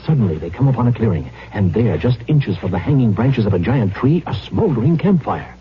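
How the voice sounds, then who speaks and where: Low-pitched man narrating western serial. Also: big pitch swings, brisk. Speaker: narrator of a radio spot. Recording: dull vintage radio, faint noise.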